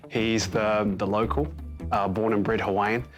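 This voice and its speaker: A man with a New Zealand accent, an actor talking in a relaxed, slightly hesitant way. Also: on the low side, unhurried, soft background music. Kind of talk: featurette interview.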